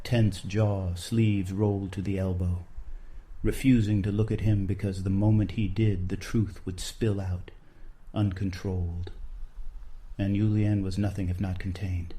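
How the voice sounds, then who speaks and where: A man narrating a scripted literary story in a measured, solemn manner. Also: deep voice, level tone, warm tone.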